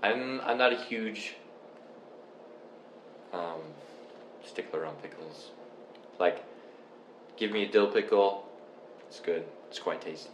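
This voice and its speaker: A young man from Canada chatting casually and explaining things step by step in a relaxed, rambling way. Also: fairly low pitch, takes it slowly, pauses often. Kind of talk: casual chat. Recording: echoey room with faint noise.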